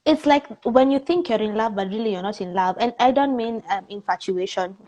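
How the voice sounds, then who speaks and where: A woman with a Kenyan accent talking casually as an interview guest, candid, expressive and informal in how she puts things. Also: on the high side, fairly quick, low-quality recording.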